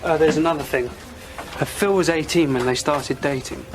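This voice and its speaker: A man's voice: fairly high pitch, moderate pace, close to an everyday voice. A man playing a youthful, earnest detective reporting facts in an audio drama. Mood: earnest, hesitant. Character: baby-faced young male detective, earnest, reporting facts. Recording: music behind the voice.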